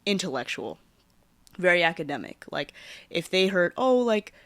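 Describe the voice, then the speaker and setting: A woman's voice: lowish voice, very animated, normal speed, no echo. Chatty young woman, dry close sound. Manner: chatty, filler-heavy, trailing off softly at phrase ends. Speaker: podcast host. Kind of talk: casual personal storytelling.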